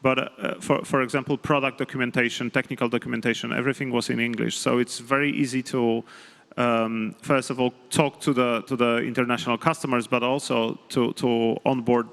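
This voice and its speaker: A man's voice: medium pitch, little pitch movement, fairly quick, vocal fry, a bit bright. Hesitant man explaining. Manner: hesitant, many fillers, explanatory. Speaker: panelist. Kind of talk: panel discussion. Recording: hall reverb, event stage.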